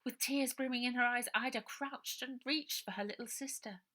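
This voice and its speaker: A woman reading her novel aloud as dramatic, expressive and suspenseful storybook narration. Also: a little high, moderate pace. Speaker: author reading own novel.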